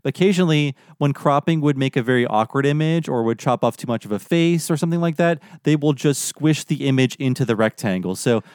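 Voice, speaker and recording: Smooth-voiced, articulate man giving opinions. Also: medium pitch, brisk, few pauses, darkish tone, dry recording, clean studio sound. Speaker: podcast host. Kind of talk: casual podcast commentary.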